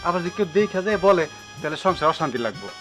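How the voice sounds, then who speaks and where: Harried married villager, played by a man, Bangladeshi accent, slightly high register, rapid and appeasing. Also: very animated, a bit bright. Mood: worried. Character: nervous married village man giving in to his lover. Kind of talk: Bengali web comedy drama acting. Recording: clear music under the voice.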